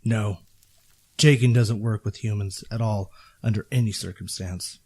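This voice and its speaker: A man playing a stern fighter who leads a small squad in an audio drama, terse and husky. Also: fairly low pitch, steady tone, unhurried, warm tone. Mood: curt, assured. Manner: terse, authoritative.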